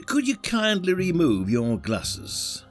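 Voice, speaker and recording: Detective role, male actor: British, cheeky and shrewd, theatrical needling, very lively intonation, music underneath. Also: high voice, slow, full character voice. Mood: methodical, accusing. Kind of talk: radio drama acting.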